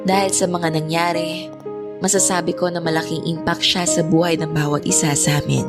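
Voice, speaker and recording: A low-voiced young woman played by a voice actress narrates her own story. She is reflective, earnest and forgiving, in scripted drama style over loud gentle music. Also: little pitch movement, fairly quick, natural voice. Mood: reflective, relieved. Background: loud new-age music.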